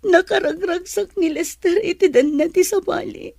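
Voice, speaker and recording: A woman's voice: extremely high pitch, normal speed, gravelly, dark tone, no echo, clean studio sound. A woman playing a hurt, betrayed young mother in an audio drama, plaintive and reproachful. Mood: tearful, hurt. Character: hurt, betrayed young mother who just gave birth, Megan. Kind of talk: Ilocano audio drama acting.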